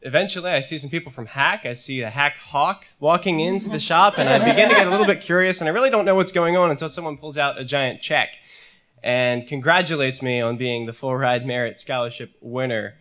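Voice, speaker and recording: Young man, student panelist, earnest, hesitant, bright forceful tone. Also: a little high, a little fast. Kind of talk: panel storytelling.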